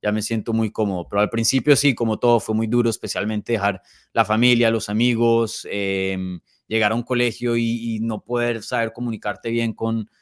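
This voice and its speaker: Colombian man, early thirties, podcast guest. Clean, resonant voice, dry close sound, casual with a hesitant, pausing delivery. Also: lowish voice, level tone, clean tone, dry recording. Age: early 30s. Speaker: podcast guest, MMA journalist. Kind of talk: casual interview conversation.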